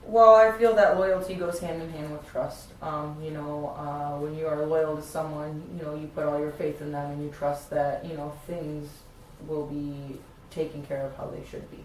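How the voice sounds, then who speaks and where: Woman acting as earnest mother, low even voice, reassuring, therapy role-play, echoey room. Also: normal speed, lightly acted. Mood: earnest, supportive. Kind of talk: role-played therapy session. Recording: very echoey room, distant mic, faint noise.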